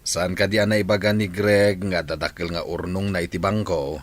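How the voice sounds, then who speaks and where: Actor as village family man, Ilocano accent, somewhat low voice, plain-spoken, radio comedy drama. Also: flat delivery, moderate pace. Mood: matter-of-fact. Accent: Filipino, Ilocano.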